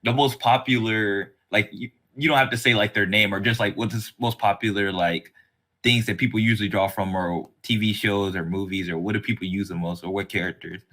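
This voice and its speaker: A man's voice: lowish voice, steady tone, a little fast, fairly bright tone. A man hosting a casual podcast chat, talking in a rambling way that circles around his points. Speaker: podcast host.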